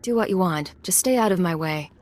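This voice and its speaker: A cool female operative, acted by a woman with a slightly low voice. She is curt and businesslike, a self-possessed video game agent who wastes no words. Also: a little fast, darkish tone, close to an everyday voice. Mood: cold. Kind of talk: video game character acting.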